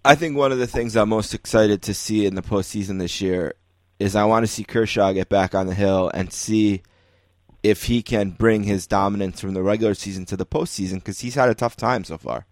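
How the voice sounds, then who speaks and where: Low-voiced man hosting a sports podcast. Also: brisk, no echo. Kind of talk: casual sports interview chat.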